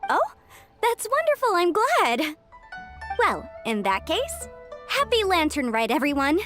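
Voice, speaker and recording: A very high, bubbly young girl for a video game. Her pitch swings wildly and expressively, though she takes her words at an unhurried pace. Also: huge pitch swings, a bit bright, faint music behind, heavily acted. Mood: relieved, cheerful.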